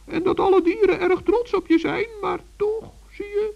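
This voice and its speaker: Tiny elderly gnome: very high, creaky, gentle and dithering, for a children's radio play, dull old recording. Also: male voice, steady tone, normal speed. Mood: pitying, earnest. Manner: dithering, repeats himself, talks in diminutives. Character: small old bearded gnome, gentle, shy, kind-hearted.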